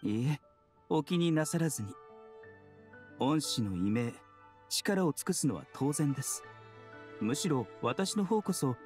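A man playing a gentle, secretive doctor in a Japanese anime game, speaking with composed, formal courtesy. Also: fairly high pitch, expressive, measured pace, pauses often, soft background music. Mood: humble, soothing.

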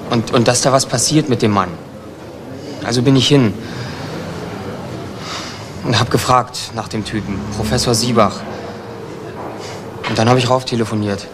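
An actor playing a young homeless petty crook, defensive and evasive, halting and repeating himself in a crime radio play. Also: male voice, medium pitch, fairly even intonation, lightly acted. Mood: earnest, uneasy. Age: young adult. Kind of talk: German radio crime drama acting. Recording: noisy retro radio drama recording.

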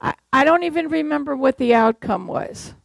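A woman's voice: high voice, lively intonation, normal speed, gravelly, no echo. An older woman from Massachusetts preaching a sermon from a church pulpit, telling stories and asking the congregation rhetorical questions. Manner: conversational storytelling with rhetorical questions.

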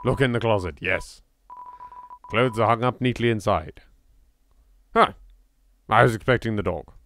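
A man's voice: on the low side, unhurried, a bit bright, dry recording. A man with a British accent doing playful, exclamatory game commentary, bantering and swearing freely. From England. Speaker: let's play host. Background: game music.

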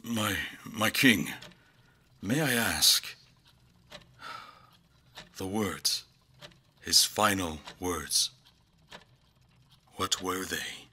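An actor playing an earnest, deferential scholarly doctor in a fantasy audio drama, with a husky voice and formal speech. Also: male voice, sits low, extremely slow, pauses often, bright, forceful tone, heavily acted. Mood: alarmed, hesitant.

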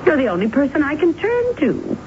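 A woman's voice: sits high, wildly swooping pitch, fairly quick, a little mellow. A woman playing a coy, pleading femme fatale sister in 1970s radio drama, with a hesitant, coquettish little voice. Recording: muffled 1970s radio recording, faint noise.

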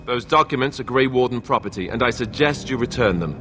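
An actor playing a wary, wry young warrior in a fantasy game, speaking in a dry, accusing manner. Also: male voice, mid-range voice, expressive, fairly quick, noisy background, close to an everyday voice. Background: game music.

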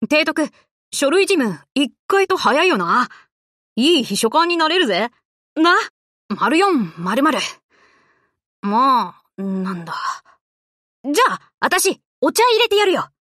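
An actress voicing a brash, cocky but loyal young tomboy warship girl in an anime game, with rough, boyish, casual speech. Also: sits high, big pitch swings, takes it slowly, long pauses, a bit bright, no room sound, studio quality, exaggerated voice. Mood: easygoing. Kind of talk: Japanese anime game character voice lines.

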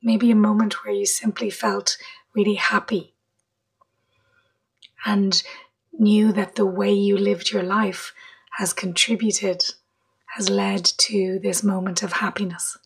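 A woman leading a guided meditation in a soft, breathy voice, gently, with long pauses between short phrases. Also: medium pitch, little pitch movement. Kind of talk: guided meditation, slow and measured.

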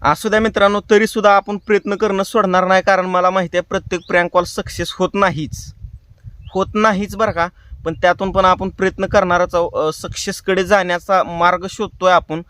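A man's voice: sits high, little pitch movement, a little fast, a bit bright, clean tone. A man with a rural Maharashtra Marathi accent, hosting prank calls and chatting casually to his viewers with colloquial tag phrases. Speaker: prank call show host.

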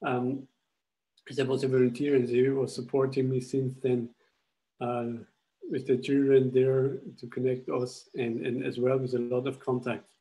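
A young man from Austria walks listeners through a slide presentation on a video call, his voice mellow and warm. He talks haltingly, often restarting phrases as he searches for the words. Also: medium pitch, flat delivery, low-quality recording. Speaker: charity founder presenting a school project. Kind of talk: webinar presentation. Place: indoors at home. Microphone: laptop webcam video call.

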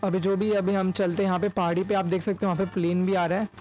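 A man's voice: high voice, little pitch movement, fast, darkish tone, soft background music. A young man giving casual gameplay commentary as a YouTuber, speaking close to a microphone. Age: young adult. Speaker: gaming YouTuber. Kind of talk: casual gameplay commentary mixing Hindi and English. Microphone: close microphone in front of face.

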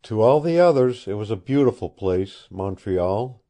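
A man reading an audiobook and voicing a young woman of about twenty, who speaks softly, tired and pleading. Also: lowish voice, expressive, normal speed. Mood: weary pleading. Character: earnest young woman, weary and secretive.

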